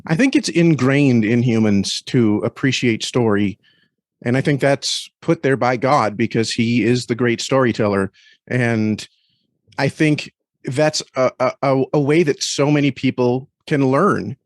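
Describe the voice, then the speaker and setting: A man with a mid-range voice speaks as a podcast guest in a dry recording. He is earnest and reflective, feeling his way haltingly through casual conversation. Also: mid-range voice, no echo. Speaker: podcast guest and story critic. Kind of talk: casual interview talk.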